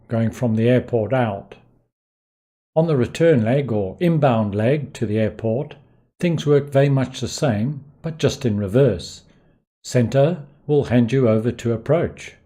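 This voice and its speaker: A man's voice: medium pitch, mellow. A man narrating an instructional tutorial as the host of a flight simulation channel.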